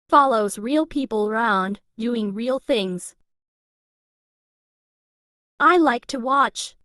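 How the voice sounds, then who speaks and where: A woman reading an English lesson, saying each practice phrase twice in a slow, even way. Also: fairly high pitch, dry recording, clean studio sound.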